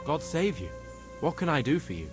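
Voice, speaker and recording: A man's voice: a little high, very animated, brisk. An actor playing a blunt but obliging village workman in a video game cutscene. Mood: helpful. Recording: music behind.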